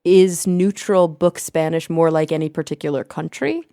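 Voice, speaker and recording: Low, gravelly-voiced American woman host. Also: fairly even intonation, normal speed, warm tone, no room sound, clean studio sound. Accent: American, slight Southern traces. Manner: curious, prompting questions with frequent affirmations. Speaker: podcast host. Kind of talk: casual interview chat.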